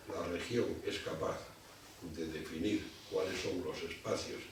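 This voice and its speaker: A man giving a public lecture. He talks in a halting way and often repeats words while he gathers his thoughts. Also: sits low. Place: lecture hall. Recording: distant mic in an echoey hall with background noise.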